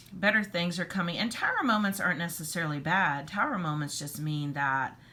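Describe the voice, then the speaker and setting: A hoarse woman, losing her voice, giving a casual tarot reading in an earnest, rambling, reassuring way. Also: sits low, pushed and bright. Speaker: tarot reader.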